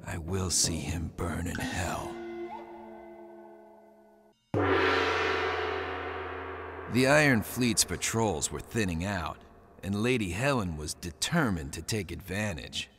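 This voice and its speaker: An actor playing a brooding, defiant young war pilot in a video game, terse and hard-boiled. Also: male voice, low voice, lively intonation, measured pace, over loud music. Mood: grim, bitter. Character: brooding, defiant young man, war pilot seeking revenge on his brother. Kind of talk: video game voice acting, narration and dialogue.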